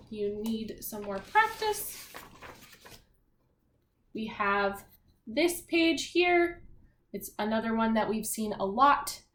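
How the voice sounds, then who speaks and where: A woman teacher talking young children through a read-aloud and math lesson in an animated, encouraging, sing-song way. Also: a little high. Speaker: primary school math teacher. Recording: echoey room, faint background noise.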